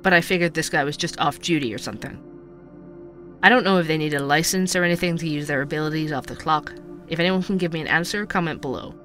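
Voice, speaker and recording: A man's voice: fairly high pitch, fast, fairly bright tone, soft background music, close to an everyday voice. An actor playing an earnest young male conspiracy podcaster, narrating a scripted audio drama like a storyteller. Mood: recounting, intrigued. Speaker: podcast host.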